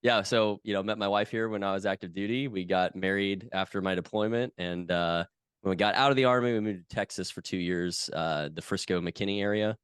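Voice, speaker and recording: A man in his thirties, a podcast guest from the US West Coast, talking in a relaxed, chatty way with many fillers. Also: deep voice, brisk, smooth, no echo, clean studio sound. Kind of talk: casual conversation.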